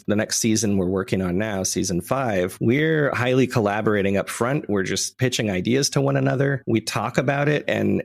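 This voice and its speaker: A man's voice: lowish voice, gravelly, dry recording. A man in a casual interview as a podcast creator, self-deprecating and hesitant in his phrasing.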